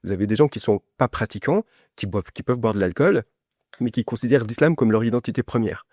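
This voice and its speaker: A man's voice: mid-range voice, fast talker, warm tone, dry recording. A man from France answering as a podcast guest in a conversational, personal way, often restarting and repeating words. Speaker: podcast guest, essayist and journalist.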